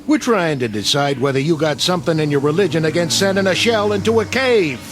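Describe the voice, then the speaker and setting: An actor playing a tough, weary army sergeant who talks in a gruff, sarcastic way. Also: male voice, a little high, expressive, brisk, natural voice. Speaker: actor in a war drama. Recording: old TV soundtrack with music.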